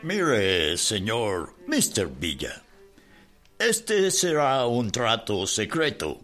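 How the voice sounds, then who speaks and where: A man's voice: on the low side, wildly swooping pitch, drawn-out words, a bit bright, soft background music, heavily acted. An actor playing a wary, polite American mining businessman bargaining in a radio drama. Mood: wary, negotiating.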